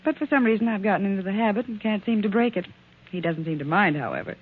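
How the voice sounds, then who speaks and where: An actress playing a brisk, polite private secretary in 1940s radio mystery style. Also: female voice, medium pitch, lively intonation, slightly rough. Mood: polite, guarded. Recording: muffled tinny 1940s radio broadcast.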